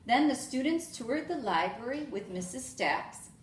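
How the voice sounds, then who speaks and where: Woman as children's read-aloud narrator: high, animated delivery, echoey room. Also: measured pace. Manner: expressive, addressing young children. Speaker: teacher reading aloud to children. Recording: echoey room with faint noise.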